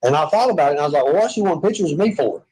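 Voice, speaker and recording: A man's voice: fairly high pitch, lively intonation, very fast, a little mellow, breathy edge, rough recording. A man with a Southern US accent, chatting and joking in a rambling, self-mocking way from a hospital room.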